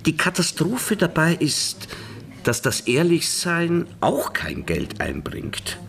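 An actor playing a chatty, wry ex-safecracker in a radio crime play, telling a story. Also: male voice, fairly high pitch, huge pitch swings, slow pace, vocal fry, a bit bright, exaggerated voice. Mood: exasperated. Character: chatty male ex-safecracker recounting a night escapade, wry.

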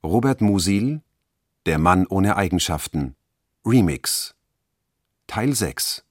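A man's voice: sits low, expressive, takes it slowly, darkish tone, no echo, studio quality. A man announcing radio credits in a matter-of-fact, list-like delivery.

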